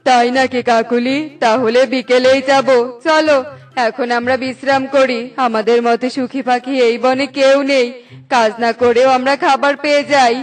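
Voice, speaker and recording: A woman's voice: high voice, steady tone, normal speed, soft background music, close to an everyday voice. A woman voicing a greedy, lazy, bullying male crow in a cartoon, demanding and smug. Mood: gleeful, scheming. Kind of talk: cartoon character dialogue.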